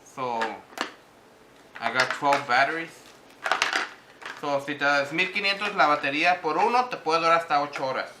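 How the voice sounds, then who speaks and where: A man's voice: a little high, moderate pace, long pauses. A man giving a casual unboxing review to viewers, speaking hesitantly and slipping between two languages. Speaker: vlogger. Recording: echoey room with faint background noise.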